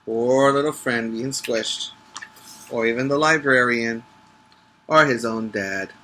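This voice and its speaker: A man's voice: medium pitch, slow pace, sharp tone, a little hiss or hum, rough recording. A male teacher reading a picture book aloud to preschoolers, adding instructive asides and short questions for the young listeners.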